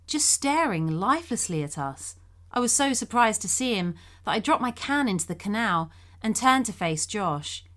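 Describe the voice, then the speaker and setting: A woman's voice: mid-range voice, fairly bright tone. Woman narrating a story, mid-range voice. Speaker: narrator reading a listener's true scary story. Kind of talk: scripted first-person storytelling narration.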